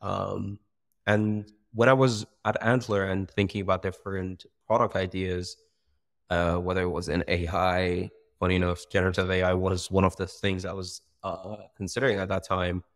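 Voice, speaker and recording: A young man speaks as a guest over a video call. His voice sits very low with a gravelly fry, and he talks in an animated, loose way, full of fillers and self-corrections. He sounds like a startup founder telling his story. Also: normal speed. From Iran. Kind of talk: casual interview. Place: bright room by a window.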